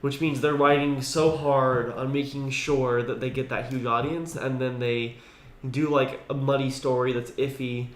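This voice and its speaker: A man's voice: medium pitch, steady tone, moderate pace. A man co-hosting a casual gaming podcast, giving his opinions in informal conversation. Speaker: podcast co-host. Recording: echoey room with faint background noise.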